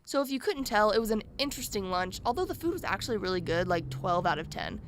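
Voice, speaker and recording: A woman acting as a curious true-crime podcaster who chats informally to her listeners. Her pitch sits in an ordinary female range, with faint noise behind her. It has the feel of a radio drama told as a podcast. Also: lively intonation, fast talker, natural voice. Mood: chatty, intrigued. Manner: informal, chatty storytelling. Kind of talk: conversational podcast narration in a radio play.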